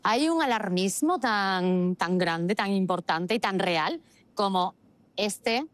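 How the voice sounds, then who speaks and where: A woman's voice: mid-range voice, expressive, slow, no room sound. A woman giving opinionated political commentary as a host, arguing emphatically with rhetorical questions and repeating phrases for stress. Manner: argumentative, uses rhetorical questions.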